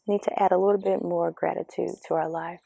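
A woman speaks slowly and earnestly, coaching the listener with gentle questions. Her voice is gravelly with a dark, mellow tone. Birdsong drifts in behind the dry recording. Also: on the low side, steady tone, no room sound. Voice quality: slightly raspy. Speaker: vlogger giving spiritual encouragement. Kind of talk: reflective motivational monologue. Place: outdoors among birds.

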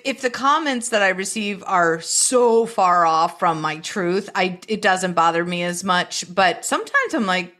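A woman's voice: on the low side, a bit bright. A woman hosting a casual interview, talking candidly and feeling her way through rambling questions. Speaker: interview show host.

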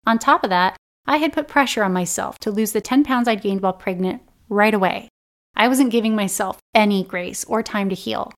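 A woman in her thirties hosting a personal self-help podcast, her voice slightly raspy and dry, speaking in an earnest, gentle and encouraging way. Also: medium pitch. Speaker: podcast host.

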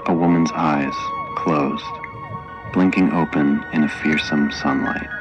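A man reads scene directions for an audio drama. His voice is extremely low, the phrases terse and measured, and music plays clearly behind him. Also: steady tone, dull audio. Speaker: narrator. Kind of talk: scripted screenplay narration for audio drama.

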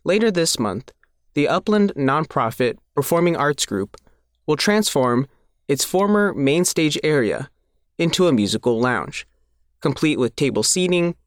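A man's voice: fairly high pitch, dry recording, clean studio sound. A man reading a scripted newspaper feature aloud as the reporter. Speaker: reporter reading their own article.

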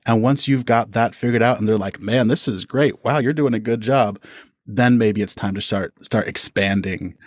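A man's voice: lowish voice, normal speed, no room sound, clean studio sound. Young man giving encouraging, casual advice. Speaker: podcast guest, creator.

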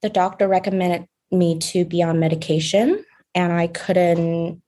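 Young woman from Vietnam, beauty founder; soft, unhurried guest storytelling with small laughs. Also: fairly low pitch. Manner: soft-spoken, fillers and restarts, light laughs. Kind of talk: casual interview talk.

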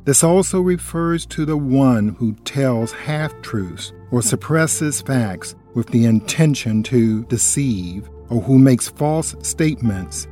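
A man with a mellow, darker tone reads a scripted Bible study as if on radio. His delivery is earnest and admonishing, pressing the listener with pointed questions. Soft music plays quietly underneath. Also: medium pitch. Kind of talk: scripted religious narration.

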